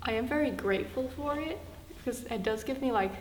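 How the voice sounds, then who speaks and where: A teenage girl with an American accent, talking quickly and chattily with lots of fillers. Her voice echoes in the room over a faint hum. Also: fairly high pitch. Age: teens. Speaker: interviewee. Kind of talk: documentary interview, casual.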